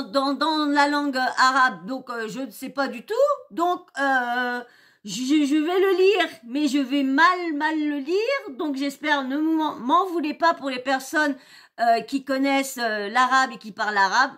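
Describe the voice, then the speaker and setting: Woman host, high voice, casual halting commentary, stumbles and repeats herself. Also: sharp tone. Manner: hesitant, many fillers and repeated words. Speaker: YouTube channel host. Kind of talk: casual commentary reading social posts aloud.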